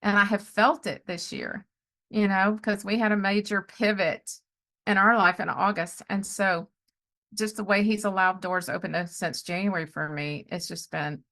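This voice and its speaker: A middle-aged woman chatting casually as a podcast host, telling personal stories of faith in an animated way and often acting out what people said. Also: mid-range voice, normal speed. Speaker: podcast host and life coach. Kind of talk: casual conversational storytelling with faith testimony.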